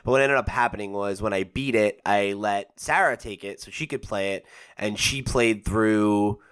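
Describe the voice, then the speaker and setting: A man's voice: on the low side, moderate pace, fairly bright tone, clear, resonant tone. Rambling young man co-hosting a podcast. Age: 20s. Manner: rambling, lots of fillers and restarts. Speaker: podcast co-host. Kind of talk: casual chat.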